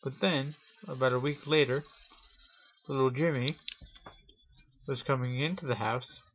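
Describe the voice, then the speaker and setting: A man hosting a Halloween story show, telling a spooky tale in a theatrical showman's manner with drawn-out pauses. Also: mid-range voice, fairly even intonation, a bit bright. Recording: dull lo-fi audio with clear noise.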